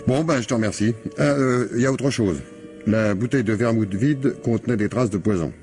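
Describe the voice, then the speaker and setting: An actor playing a shrewd, probing police commissioner in a radio mystery, with a colloquial, wry manner. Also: male voice, medium pitch, brisk, darkish tone, soft background music, lightly acted. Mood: brisk, wry. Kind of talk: French radio mystery play acting.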